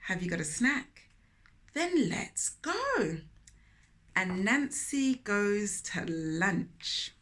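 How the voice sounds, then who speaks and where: A woman's voice: medium pitch, sing-song, very slow, a bit bright. A woman hosting a children's read-aloud, telling the story expressively with chatty asides and questions to listeners.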